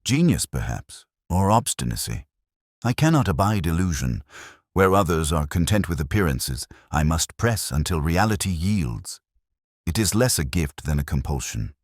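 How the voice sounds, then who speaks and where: A man's voice: very deep voice, very animated, normal speed, no room sound, studio quality, full character voice. A man voicing a cerebral detective who speaks in a grave, didactic way with formal Victorian phrasing. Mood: earnest, instructive.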